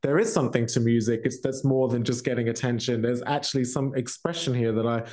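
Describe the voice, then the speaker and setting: Reflective British man, halting delivery. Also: mid-range voice, fairly even intonation, a little mellow. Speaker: musician, interview guest. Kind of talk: candid podcast interview.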